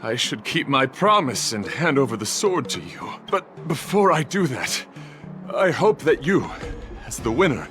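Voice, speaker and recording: Earnest knight of beauty, courtly, theatrical, animated intonation, video game, music behind. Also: male voice, medium pitch, a little slow. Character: earnest, chivalrous male knight devoted to beauty.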